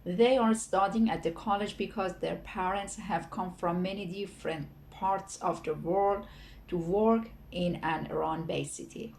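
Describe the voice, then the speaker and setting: A woman reading scripted narration for a language-learning lesson, delivered slowly and evenly. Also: lowish voice, darkish tone, a little hiss or hum. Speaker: textbook audio narrator.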